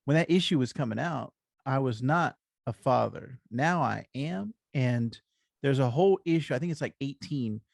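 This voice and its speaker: An American man with a gravelly creak in his mid-pitched voice co-hosts a casual podcast. He chats in an easygoing way, recorded dry with almost no room sound. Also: animated, normal speed, darkish tone, no echo. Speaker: comics podcast co-host. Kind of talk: casual chat.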